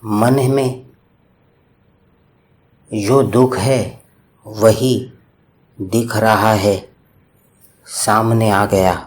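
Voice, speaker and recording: A man leading a guided meditation with slow, calm, soothing instructions and long pauses. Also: lowish voice.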